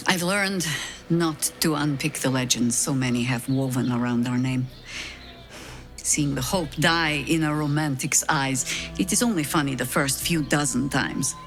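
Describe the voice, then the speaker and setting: An actress voicing a weary, wry middle-aged woman agent in a video game, measured and self-deprecating, over music. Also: low-pitched. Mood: wry, guarded. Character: weary, wry veteran high-ranking woman harper agent. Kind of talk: video game dialogue acting, reflective storytelling.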